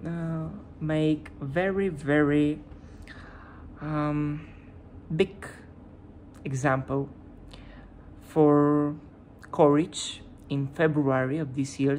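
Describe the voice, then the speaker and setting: A low-pitched woman speaking English with a Bulgarian accent, not her first language. She talks to her viewers in a slow, unhurried vlog style and stops often to hunt for the right word. A faint hiss sits under her voice. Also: darkish tone. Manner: hesitant, searching for words. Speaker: YouTuber. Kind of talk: casual vlog commentary.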